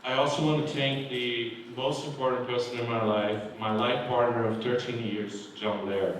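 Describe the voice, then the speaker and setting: A man's voice: mid-range voice, fairly even intonation, distant mic, noisy background. Man giving a speech in an echoey hall. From Brazil. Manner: earnest, heartfelt, measured. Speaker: award honoree. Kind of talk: acceptance speech. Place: large hall. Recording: very echoey hall, mic from afar.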